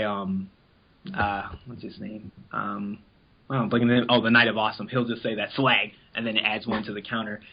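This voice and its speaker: A man's voice: fairly low pitch, slightly rough, muffled recording. A man chatting casually as an interview guest, telling anecdotes in a rambling, informal way and acting out what people said. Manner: rambling, informal, acts out quoted remarks. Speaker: interview guest, amateur voice actor in an abridged anime series.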